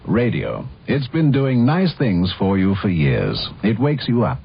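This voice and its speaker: A husky-voiced man reading a scripted radio promotional announcement. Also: on the low side, very animated, a little slow. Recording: muffled old radio recording.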